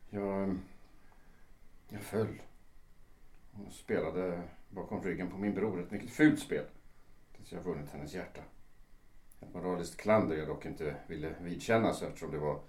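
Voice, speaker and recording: An actor playing an ashamed, defensive lawyer in his late fifties in a radio drama, speaking formally and haltingly. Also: deep voice, darkish tone, natural voice. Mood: remorseful, pleading. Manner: halting, formal, old-fashioned phrasing. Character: ashamed, hesitant 58-year-old lawyer, defensive brother. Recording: roomy, echoing interior.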